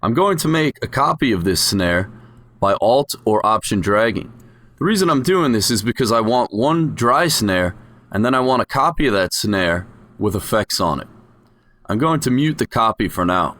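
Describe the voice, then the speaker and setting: A man's voice: on the low side, animated, normal speed, fairly bright tone, dry recording, studio quality. A man giving an explanatory voice-over tutorial as a music production instructor.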